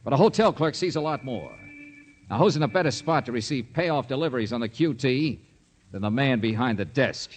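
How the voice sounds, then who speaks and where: An actor playing a cocky, wisecracking private detective in a 1950s radio drama, slangy and sardonic. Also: male voice, mid-range voice, lively intonation, a little fast, a little hiss or hum, natural voice. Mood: needling, accusing.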